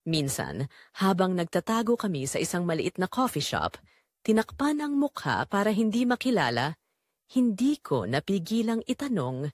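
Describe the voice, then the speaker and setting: A woman playing a remorseful secretary and mistress, narrating her forbidden love in an expressive, confessional audio drama style. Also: on the low side, moderate pace, dry recording, clean studio sound. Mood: wistful, guilty.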